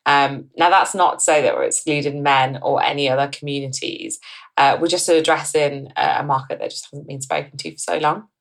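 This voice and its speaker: A woman's voice: deep voice, steady tone, fairly quick, low-quality recording. A woman in her thirties from northern England, a company co-founder, talking in a chatty way on a podcast. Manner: chatty, with frequent ums and restarts. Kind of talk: podcast interview.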